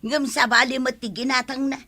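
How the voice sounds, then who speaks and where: A woman's voice: high-pitched, fairly even intonation, brisk, sharp tone, heavily acted. A woman with an Ilocano accent playing an exasperated village wife in a comedy drama. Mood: complaining. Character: exasperated village wife complaining about her husband.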